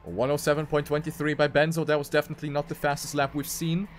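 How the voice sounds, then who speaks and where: Man, highish voice, esports race commentator, play-by-play delivery, repeats for emphasis, music behind. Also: level tone, fast. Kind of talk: live racing game commentary.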